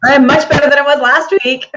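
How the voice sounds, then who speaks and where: A woman co-hosting a casual live chat, her voice husky as she gets over a chesty cough. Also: high voice, steady tone, a little fast, very noisy. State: recovering from bronchitis with a cough. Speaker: co-host of a business live show. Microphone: live video call. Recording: muffled, lo-fi video stream.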